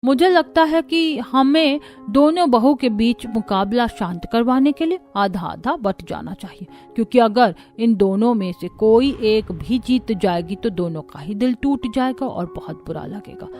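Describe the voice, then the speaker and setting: Woman acting high-voiced squabbling cartoon family woman. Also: expressive, measured pace, hardly any pauses, darkish tone, faint music behind. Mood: worried. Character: women of a squabbling family, competitive and fretful.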